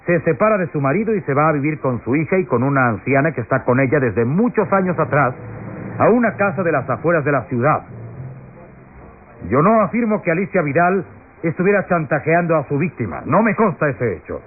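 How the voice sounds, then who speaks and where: An actor playing a stern, accusing prosecutor who addresses a jury with pompous, emphatic radio drama oratory. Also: male voice, fairly high pitch, big pitch swings, brisk. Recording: tinny, noisy old radio recording.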